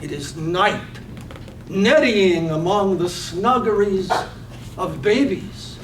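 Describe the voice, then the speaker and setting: Man reading poetic play narration, super slow n deliberate, echoey, faint rumbly noise. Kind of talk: poetic scripted stage narration. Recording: roomy stage, far mic, faint rumble.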